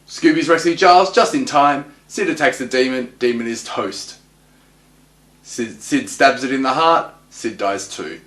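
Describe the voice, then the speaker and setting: A man delivering recap and analysis commentary as a host, with wry, clipped deadpan quips. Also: medium pitch, measured pace. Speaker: host of a TV episode analysis show. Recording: roomy, echoing voice recording.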